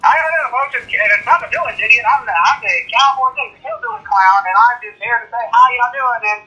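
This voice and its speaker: A man's voice: extremely high pitch, expressive, a little fast, sharp tone, lo-fi sound, heavily acted. A man voicing a comic hillbilly cowboy clown, folksy and rambling, as a guest on a video. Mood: goofy, folksy.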